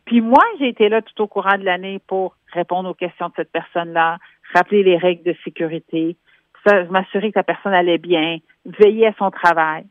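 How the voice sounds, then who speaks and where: A woman's voice: fairly low pitch, steady tone, brisk, no echo. A woman with a Québécois French accent, a workplace columnist explaining with concrete examples as she calls in to a radio show. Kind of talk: radio phone-in commentary. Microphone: telephone. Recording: tinny phone line, dry.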